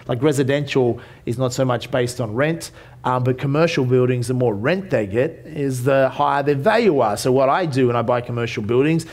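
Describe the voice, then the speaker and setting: A man's voice: medium pitch, darkish tone. A man in his forties with an Australian accent, presenting to a seminar audience in a conference room, talking casually with many fillers and restarts. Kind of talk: business seminar talk.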